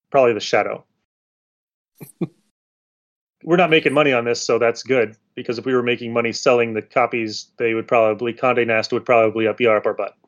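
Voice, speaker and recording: A man's voice: medium pitch, dull audio. An American man hosting a podcast in casual, rambling conversation. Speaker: podcast host. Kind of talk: casual chat.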